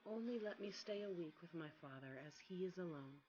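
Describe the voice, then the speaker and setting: A woman voicing a gentle, tender-hearted young woman in a bedtime storybook, softly earnest and pleading. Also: lowish voice, expressive, brisk, lo-fi sound, slight background noise, close to an everyday voice.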